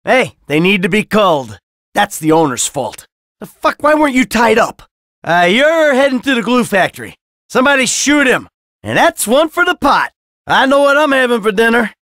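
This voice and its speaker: A man's voice: very high voice, big pitch swings, normal speed, a bit bright, dry recording, studio quality, heavily acted. An actor playing a sarcastic, hot-tempered, middle-aged American man in a midlife crisis, in short exasperated video game lines. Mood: agitated, shouting. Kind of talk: video game character barks.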